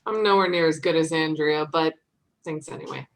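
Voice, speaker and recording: A woman's voice: low-pitched, fairly even intonation, normal speed. A woman playing a modest, good-humoured survivor in a comic table read, with a self-deprecating manner. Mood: playful, modest.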